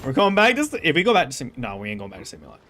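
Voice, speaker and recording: Joking woman gamer reacting to a cutscene, low voice, all over the place, music under. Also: rapid-fire, slight background noise. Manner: joking, excitable, slangy, talks back to the game. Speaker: gaming streamer. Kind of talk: casual gameplay commentary.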